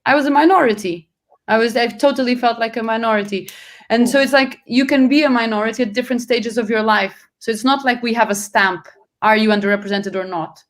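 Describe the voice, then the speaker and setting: A woman's voice: fairly high pitch, brisk. A woman from Portugal, not a native English speaker, answering as a guest on a panel in an earnest way, often restarting and correcting herself mid-sentence.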